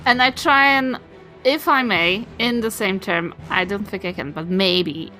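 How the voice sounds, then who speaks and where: A woman's voice: mid-range voice, a little slow, sharp tone, poor audio. A woman playing a tabletop game, chatting casually as she improvises her moves. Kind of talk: casual chat, improvised play. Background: faint music.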